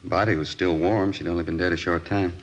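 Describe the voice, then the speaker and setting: A brisk American hospital doctor with a low voice. He reports in clipped, matter-of-fact phrases in the style of 1950s radio drama. The old recording sounds slightly muffled. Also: fairly even intonation.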